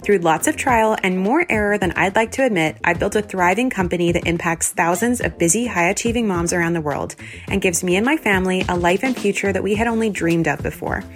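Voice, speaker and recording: A woman's voice: mid-range voice, brisk. A woman giving an upbeat, scripted introduction as a podcast host. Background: faint music.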